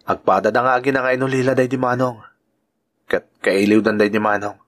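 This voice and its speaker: Actor as a gentle mid-pitched uncle. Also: male voice, steady tone, brisk, close to an everyday voice. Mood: gentle concern. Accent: Ilocano. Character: kindly adult uncle, gentle dutiful working man. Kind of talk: Ilocano audio drama acting. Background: music.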